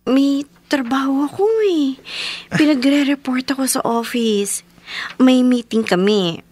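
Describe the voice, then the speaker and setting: A woman's voice: high-pitched, fairly even intonation, measured pace, darkish tone. An actress playing an apologetic, anxious young working woman in a radio drama, in her twenties, with a Filipino accent. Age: 20s.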